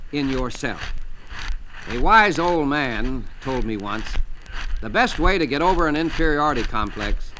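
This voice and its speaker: A man's voice: fairly high pitch, bright, forceful tone. A man reading a scripted religious self-help text in a formal, earnest, preacherly manner. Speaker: author and inspirational speaker reading his text. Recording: noisy archival spoken-word audio with clicks.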